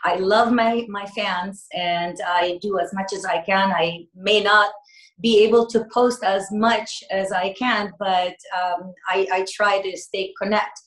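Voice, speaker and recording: A woman's voice: medium pitch, steady tone, measured pace, echoey room, low-quality recording. A woman singer raised in America answering interview questions in casual talk, hesitant with many fillers and restarts. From United States.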